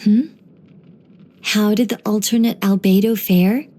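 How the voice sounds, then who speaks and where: A woman voicing a gentle, wise young angelic woman in game voice lines, soft and wryly musing. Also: mid-range voice, steady tone, a little slow, natural voice.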